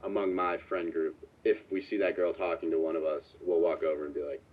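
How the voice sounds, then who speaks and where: A man's voice: deep voice, level tone, brisk, phone-quality sound. A young man, an anonymous student, rambling in an interview with many "like"s.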